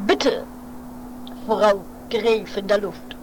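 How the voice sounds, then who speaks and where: A woman's voice: mid-range voice, steady tone, drawn-out words. A woman playing a nervous, devoted companion of a daredevil in an improvised comic radio play, muttering dramatically and repeating words. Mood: bustling, solemn. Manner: dramatic, repetitive, agitated muttering. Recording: noisy home-made 1980s radio play recording.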